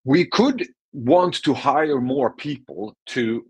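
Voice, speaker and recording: A man's voice: medium pitch, expressive, slow pace, slightly rough, no echo. A Swedish man, a non-native English speaker, giving a casual, chatty online presentation with frequent restarts. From Sweden.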